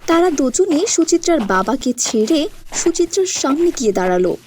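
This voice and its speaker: A woman's voice: high voice, big pitch swings, moderate pace, a little mellow, slight background noise. A woman narrating a scripted cartoon story as a storyteller.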